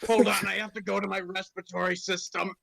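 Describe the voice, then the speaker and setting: A man's voice: sits high, level tone, quick pace, gravelly, background noise. A man improvising comic, sweary banter during a playful script reading. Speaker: cast member in a comedy script reading.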